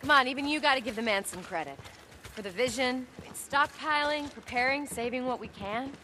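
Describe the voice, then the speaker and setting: A brisk camp scientist in a video game. She sounds earnest and guarded but determined, with a bright, forceful voice. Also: high voice, a little hiss or hum.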